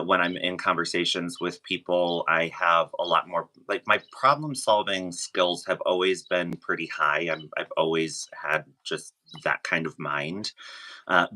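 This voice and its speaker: Male guest, very low but bright, forceful voice, casual and halting conversational delivery. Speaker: podcast guest.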